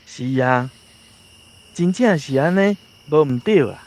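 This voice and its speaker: Slow-talking Taiwanese village man. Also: a little high, lively intonation, darkish tone. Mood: agreeing. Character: slow, matter-of-fact village man giving opinions. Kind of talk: radio drama acting.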